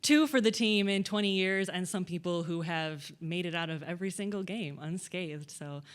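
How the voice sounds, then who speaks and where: A woman with a smooth, clear, slightly low voice speaks as an audience member. She asks her question casually, as a fan would. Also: normal speed.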